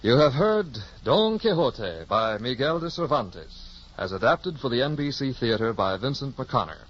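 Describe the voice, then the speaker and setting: A man's voice: mid-range voice, big pitch swings, measured pace, slight background noise. An American man announcing in formal, scripted 1950s network radio style, enunciating with care. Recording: dull old-time radio recording.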